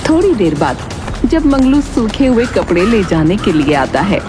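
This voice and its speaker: Lively woman narrating a children's story. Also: medium pitch, moderate pace, over music.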